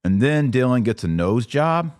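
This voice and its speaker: A man's voice: mid-range voice, very animated, normal speed, a little mellow, clean tone, no room sound, clean studio sound. A man with an American accent giving live podcast commentary in a conversational way, posing pointed rhetorical questions. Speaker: podcast host.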